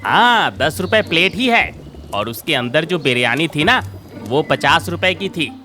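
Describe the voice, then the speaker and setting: A man voicing a scheming, mocking food-stall vendor with a sneering, drawn-out delivery in a cartoon moral story. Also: fairly high pitch, very animated, sharp tone, soft background music, exaggerated voice. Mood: sly. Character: scheming, mocking adult male biryani street vendor.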